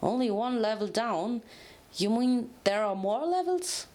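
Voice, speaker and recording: A woman's voice: fairly high pitch, animated, a little slow, a little mellow. A woman acts out a female pony sidekick in a fantasy story reading. The character is practical and folksy, speaking bluntly and informally in a mid-range voice. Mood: wary.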